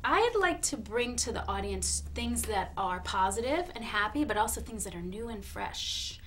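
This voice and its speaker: A woman hosting a comedy talk show with a deadpan, mock-serious delivery. She stays polite and earnest while saying absurd things, at an ordinary mid pitch. A faint hiss sits under the recording. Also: normal speed.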